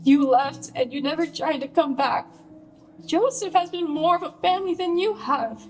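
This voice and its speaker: A woman's voice: high voice, moderate pace, faint music behind, close to an everyday voice. An actress playing a hurt, furious bride confronting her famous sister in a naturalistic radio play, scolding and pleading with emphatic profanity. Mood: wounded, bitter.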